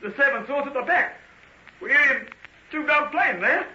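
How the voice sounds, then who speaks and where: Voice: high voice, lively intonation, normal speed, sharp tone, slight background noise, heavily acted. A performer in an old-time radio play as a timid, polite young kitchen boy, apologetic and stammering. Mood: nervous, apologetic. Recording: echoey, muffled old radio recording.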